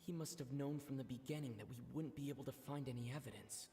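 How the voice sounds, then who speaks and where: An actor playing a pensive investigator reasoning things through in a video game. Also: male voice, mid-range voice, fairly even intonation, fast, dark tone, natural voice. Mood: pondering. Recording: long reverberant hall echo. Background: game music.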